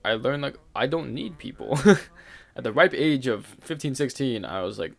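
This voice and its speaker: A man's voice: medium pitch, expressive, normal speed, creaky. Young man telling a candid rant. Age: young adult. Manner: candid, rambling, with fillers and swearing; laughs now and then while talking. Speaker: channel host. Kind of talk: casual storytelling rant.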